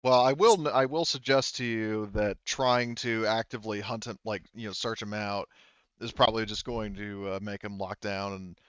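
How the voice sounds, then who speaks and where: Low, smooth-voiced man running a game. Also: steady tone, normal speed, a bit bright, no echo, lo-fi sound. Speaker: game master. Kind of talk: casual tabletop roleplaying game session.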